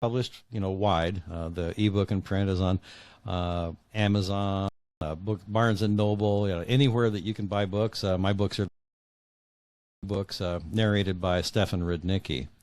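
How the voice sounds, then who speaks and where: A man's voice: deep voice, fairly even intonation, normal speed, a little mellow, no room sound. A man answering interview questions as an author, conversational and hesitant, often rephrasing as he goes.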